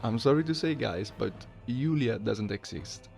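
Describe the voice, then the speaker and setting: A man gives casual commentary as host of a gaming video. His voice is animated and moves around a lot, with noticeable vocal fry, over quiet background music. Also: medium pitch, normal speed. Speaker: gaming channel host, amateur voice impersonator.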